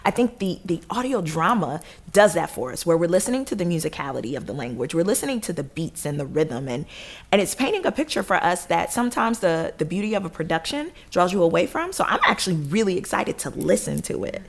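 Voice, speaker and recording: A woman's voice: lowish voice, very animated, fast talker. A woman guest giving reflective, emphatic, conversational answers in an interview.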